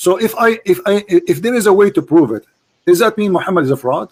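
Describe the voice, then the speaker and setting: A man's voice: sits high, lively intonation, racing, a little mellow, breathy edge. A man, pressing his opponent with repeated, insistent questions in a heated online debate.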